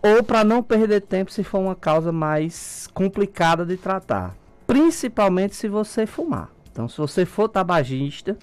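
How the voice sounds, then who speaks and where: A man's voice: high-pitched, normal speed, clean tone. A middle-aged man with a Brazilian accent, an ENT doctor explaining things step by step as a guest in a radio studio, speaking through a face mask. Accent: Brazilian Portuguese. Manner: didactic, calm explaining. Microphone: studio mic, wearing a face mask.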